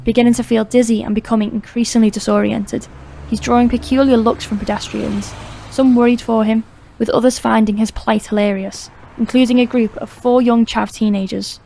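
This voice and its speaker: A woman's voice: on the high side, flat delivery, fairly quick, gravelly, warm tone, slight background noise, low-quality recording. A woman reading scripted narration for an audiobook drama.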